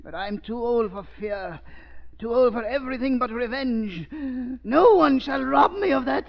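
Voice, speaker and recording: An actor playing a frail, vengeful old professor in a 1930s radio drama, with a thin, quavering voice and stammering, agitated delivery. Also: male voice, very high voice, lively intonation, normal speed, creaky, crowd noise, full character voice. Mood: fearful, defiant. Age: older. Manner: stammering, repeating words, agitated. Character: frail vengeful old male professor, excitable plotting villain. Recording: muffled lo-fi 1930s radio recording.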